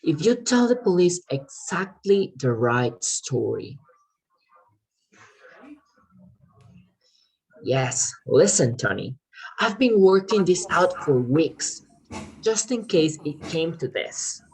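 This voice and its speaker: Woman playing a scheming crime writer in a stage play reading, low voice, earnest, pushy, stumbles a bit. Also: very animated, a little mellow, husky, exaggerated voice, poor audio. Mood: urgent, persuasive. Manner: persuasive, earnest, halting. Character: eager crime writer scheming to save a condemned friend. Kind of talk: amateur readers theater performance.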